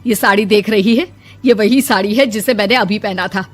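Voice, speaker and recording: A woman playing an indignant middle-aged village mother-in-law in an animated moral story, scolding and emphatic. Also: medium pitch, moderate pace, faint music behind, natural voice. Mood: offended.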